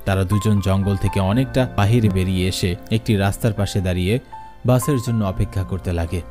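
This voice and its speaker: A man narrating an animated horror story in a dramatic, suspenseful scripted style. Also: low voice, a little fast, soft background music.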